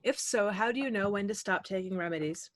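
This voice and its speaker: A woman's voice: mid-range voice, a little fast. A woman reads listener questions aloud and adds her own thoughts in an easygoing, conversational tone. The sound is dry and close, with no room echo. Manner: relaxed, conversational, uses fillers. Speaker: co-host reading questions. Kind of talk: reading questions, casual chat.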